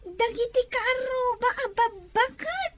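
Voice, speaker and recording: A woman's voice: very high-pitched, lively intonation, unhurried, crowd noise. A woman with an Ilocano accent playing a tearful, pleading young girl in an audio drama. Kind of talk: Ilocano radio-style drama acting.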